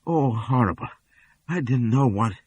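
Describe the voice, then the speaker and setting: Man voicing a high, urgent young woman. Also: animated, unhurried, a little mellow, breathy edge, dry recording. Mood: distraught. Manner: high and pleading, then urgent. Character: young woman, quick-witted and daring. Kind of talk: audiobook character voice.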